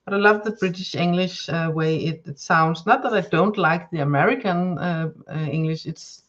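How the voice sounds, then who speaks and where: A woman with a Danish accent chats through a live tarot reading, searching for words and circling back with many fillers. Her talk turns gentle and soothing when she gives spiritual guidance. The sound is somewhat dull and lo-fi. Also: lowish voice, normal speed. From Denmark. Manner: rambling, hesitant, many fillers and restarts. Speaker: tarot reader. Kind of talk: live-stream card reading chat.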